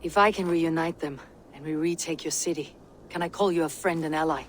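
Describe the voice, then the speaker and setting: An actress playing a stoic, loyal, battle-hardened Viking raider in a video game, terse and direct. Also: female voice, low voice, a little fast, close to an everyday voice. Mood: businesslike. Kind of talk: video game cutscene acting. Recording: clear background ambience noise.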